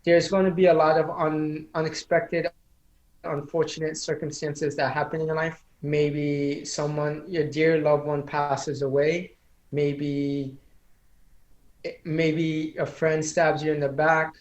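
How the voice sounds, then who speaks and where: A man's voice: on the high side, little pitch movement, slow, echoey room, muffled recording. A man who is a public speaking coach, speaking as an interview guest in an earnest, motivational, speech-like way; English is not his first language. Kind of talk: casual interview chat.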